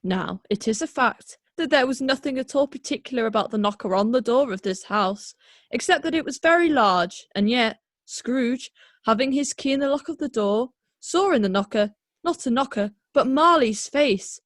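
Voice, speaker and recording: A woman narrating a radio play as a storyteller, measured and dramatic. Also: high-pitched, animated, no room sound, clean studio sound.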